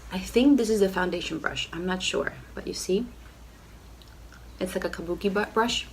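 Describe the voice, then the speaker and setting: A young woman with a cold, talking through a casual makeup tutorial at home in a nasal, rough, low voice, filling her sentences with "like" and "you know". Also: moderate pace, a little hiss or hum. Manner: chatty, lots of "like" and "you know". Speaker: beauty vlogger.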